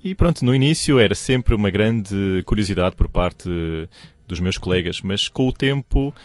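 A man's voice: on the low side, expressive, normal speed, no room sound. A young man with a European Portuguese accent, telling his story in a radio interview, hesitant and restarting often. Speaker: radio presenter, guest.

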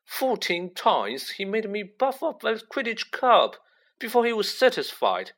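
A man's voice: high voice, huge pitch swings, unhurried, fairly bright tone, voice pushed up, full character voice. A man voicing a weary, grumbling schoolboy in a storybook. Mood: weary, aggrieved. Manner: groaning, complaining. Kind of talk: audiobook character acting.

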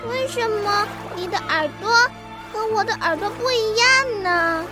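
Casting: sweet, curious little girl for costume audio drama, very high voice, unhurried delivery, prominent music behind. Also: animated. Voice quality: childlike, high. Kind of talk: Chinese costume radio drama.

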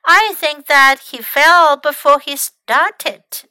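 A woman reads as an observant storybook boy with a calm temper. The voice is high and clear-edged, bright and unhurried. The lines come out measured and matter-of-fact. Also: no echo, full character voice.

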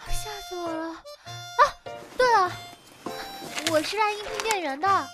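A woman's voice: very high-pitched, unhurried, music in the background. A woman playing a bubbly, pushy young job applicant in a radio drama, gushing and playfully wheedling. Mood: startled, calling out. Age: young adult.

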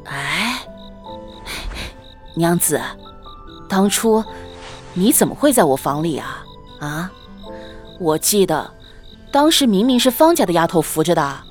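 Casting: young husband for costume radio play, female actor, lowish voice, courteous, calm and tender. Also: slow pace, pauses often, soft background music. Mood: calm, dutiful. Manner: composed, polite. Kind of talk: Chinese costume audio drama acting.

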